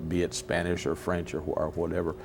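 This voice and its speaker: A man's voice: sits low, completely flat delivery, fairly quick, vocal fry, noisy background. A man hosting a casual interview on local television, chatty and given to repeating words as he searches for them. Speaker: host. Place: local TV studio.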